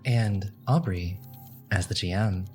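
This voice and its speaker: A man's voice: low voice, takes it slowly, darkish tone, faint music behind. A man reading a scripted promotional announcement as a trailer announcer.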